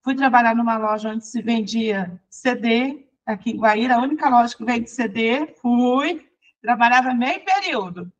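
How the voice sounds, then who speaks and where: A Brazilian woman, a songwriter and teacher, recounting her life in a chatty, lively interview. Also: a little high, moderate pace, muffled recording. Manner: lively, chatty, restarts and laughs while recounting. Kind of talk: personal storytelling in conversation.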